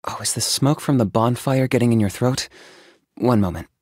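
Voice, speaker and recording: An actor playing an aloof, pragmatic young hunter in a video game, speaking in a calm, reserved, matter-of-fact and dryly sardonic way. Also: male voice, mid-range voice, moderate pace, no room sound, studio quality, close to an everyday voice. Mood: calm, considerate. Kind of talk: video game character voice acting.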